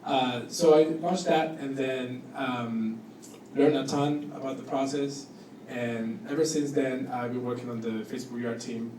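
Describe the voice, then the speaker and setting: A man at a middle pitch gives an informal, self-effacing talk as a guest designer. His delivery is a little hesitant. He is heard from some distance in an echoey room with clear background noise. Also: steady tone, unhurried, dark tone. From Cuba and Costa Rica. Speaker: guest speaker, product designer. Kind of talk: informal spoken introduction at a panel talk. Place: indoor event room with audience.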